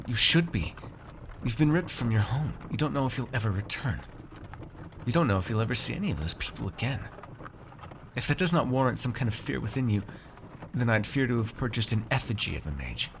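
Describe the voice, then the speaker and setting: Man playing a low-voiced, haughty fantasy nobleman master. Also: a little hiss or hum, natural voice. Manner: measured, condescending, formal and wordy, with sighs. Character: haughty, shrewd nobleman master coaxing a frightened purchased mage servant. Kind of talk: ASMR fantasy audio roleplay.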